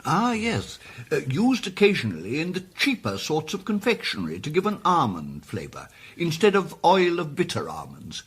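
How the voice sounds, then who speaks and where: Husky, pedantic little gentleman. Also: on the high side, huge pitch swings, normal speed, heavily acted. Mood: diffident, informative. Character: mild, pedantic, hesitant English amateur sleuth. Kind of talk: British period radio drama acting.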